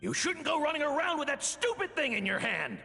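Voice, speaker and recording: A furious scolding father, high strained gravelly voice, echoey and distant, game music. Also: sing-song, a little fast, exaggerated voice. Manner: harsh, shouting, berating. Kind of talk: video game voice acting.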